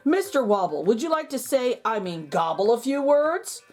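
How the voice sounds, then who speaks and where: A woman's voice: a little high, very animated, moderate pace, darkish tone. A woman voicing a friendly, hearty male farmer in a children's storybook, calling out cheerful invitations. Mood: warmly inviting.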